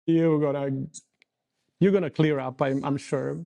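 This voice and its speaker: A man from Serbia speaks with a gravelly, dark voice, a little higher than most men. He is a grooming instructor guest on a video call. His short, friendly answers come through dry, with no room sound. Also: steady tone, measured pace, dry recording. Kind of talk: casual video call chat.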